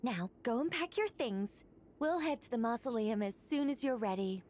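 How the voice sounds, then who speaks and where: A woman playing a solemn, knowing guide in a video game, speaking in a measured, formal way. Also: a little high, lively intonation, darkish tone, slight background noise, close to an everyday voice. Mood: calm, solemn. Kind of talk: scripted video game dialogue.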